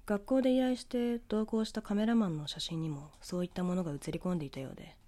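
A woman narrates a scripted ghost story with a dark, relaxed tone. Her pitch sits in the middle for a woman. She tells it in the first person with a measured storyteller's delivery.

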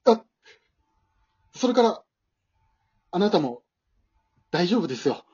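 A man's voice: high-pitched, very animated, slow pace, a little mellow, slightly rough, muffled recording, voice pushed up, heavily acted. A man playing a timid, nervous, unimpressive husband and father in an amateur radio drama, stammering and hesitant. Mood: earnest, encouraging.

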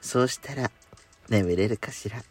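A man's voice: lowish voice, measured pace, breathy edge, dry recording, faint music behind, voice pushed down. A man playing a coy, clingy, pampered sweetheart with feminine speech in an intimate bedtime audio drama. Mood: clingy, drowsy. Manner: coy, teasing, feminine wording.

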